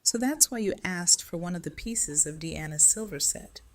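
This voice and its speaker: A woman's voice: lowish voice, lively intonation, normal speed, slight background noise. A woman playing a subdued, kindly community leader in an audio drama. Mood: glad, amused. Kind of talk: fan audio drama acting.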